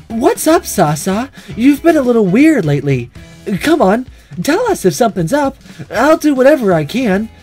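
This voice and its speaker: A man voicing a loud, insistent, caring schoolgirl friend in a visual novel. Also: very high-pitched, sing-song, normal speed, slightly rough, faint music behind, pitched above the performer's own voice, full character voice. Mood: concerned, pushy. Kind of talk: visual novel character read-aloud.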